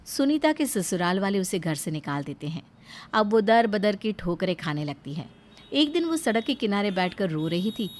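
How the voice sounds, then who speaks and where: A woman's voice: mid-range voice, slight background noise. A woman narrating a scripted moral story as a storyteller.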